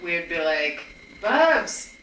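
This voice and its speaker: A woman's voice: lowish voice, sing-song, very slow, bright, forceful tone, echoey room, a little hiss or hum, poor audio. A woman co-hosting a podcast, chatting in a bubbly way and laughing easily. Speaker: podcast co-host. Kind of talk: casual chat.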